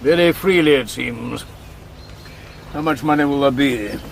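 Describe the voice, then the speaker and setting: An actor playing a courteous, sceptical older colonel turned crook in a radio drama, with a gentlemanly, questioning manner. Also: male voice, mid-range voice, measured pace, gravelly, a little hiss or hum. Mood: wary, doubtful.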